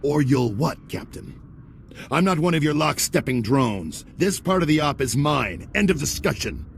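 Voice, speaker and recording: An actor playing a hardened veteran soldier turned rogue in a video game cutscene, talking in curt, blunt military phrases. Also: male voice, a little high, sing-song, normal speed, gravelly, a little hiss or hum. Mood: defiant.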